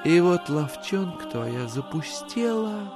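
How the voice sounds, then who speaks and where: An actor playing a shrewd gentleman musing aloud in a theatrical audiobook monologue, drawling and mockingly familiar. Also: a little high, sing-song, takes it slowly, loud music behind the voice. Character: Chichikov, shrewd gentleman musing aloud over dead serfs.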